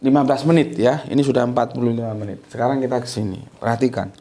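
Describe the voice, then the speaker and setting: A man's voice: medium pitch, fairly even intonation, fast, dark tone. A man from Sumatra giving an informal religious lecture, talking loosely and tagging many sentences with a short "ya". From Sumatra, Indonesia. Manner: loose, conversational, with frequent "ya" tags and restarts. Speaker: religious teacher.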